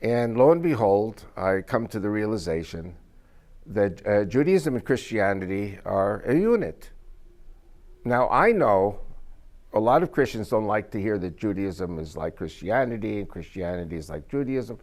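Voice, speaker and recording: Older man whose first language is Spanish, a joking storyteller guest speaker with a somewhat low voice. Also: lively intonation, moderate pace, a little mellow. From United States. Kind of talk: informal talk to a small group.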